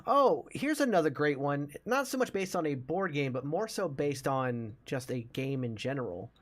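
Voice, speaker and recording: A man's voice: a little high, lively intonation, moderate pace. A man chatting casually as a podcast host about films, explaining things with enthusiasm. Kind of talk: casual movie discussion.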